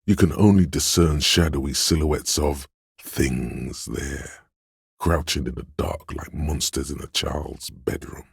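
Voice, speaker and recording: A slick, suave inner voice, narrating a video game straight to the player. It is extremely low and heavily husky, recorded very dry and close. The delivery is measured, theatrical and wry, with a cunning edge. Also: male voice, no echo, heavily acted. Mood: brooding, measured. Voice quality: very deep, husky. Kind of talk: second-person game narration.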